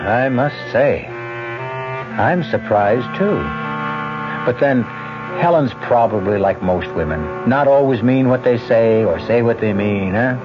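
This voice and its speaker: Slow, wry male radio mystery host. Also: mid-range voice, lively intonation. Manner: wry, deliberate asides. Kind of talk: scripted radio mystery hosting. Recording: dull lo-fi radio, music behind.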